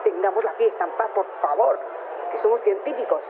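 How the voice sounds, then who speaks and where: A man's voice: sits high, brisk. A man playing an irritated, officious scientist, indignant and formal, in an audio drama. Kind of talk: acted radio drama. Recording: very noisy tinny phone link.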